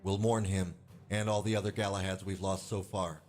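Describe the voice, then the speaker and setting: An actor playing a stern, weary squadron commander giving grave, hard-nosed speeches to pilots in a space game. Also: male voice, low voice, level tone, normal speed, darkish tone, close to an everyday voice. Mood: grim, resolute. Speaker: military commander briefing pilots. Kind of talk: video game voice acting, military briefing speech. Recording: music behind the voice.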